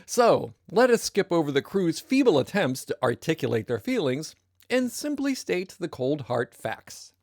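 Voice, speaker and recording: A weary, pompous narrator, played by an actor in a sci-fi comedy. The delivery is deadpan and wordy but lively in pitch, in a close, dry recording. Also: normal speed, vocal fry, dry recording. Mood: dry disdain. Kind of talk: scripted comic narration.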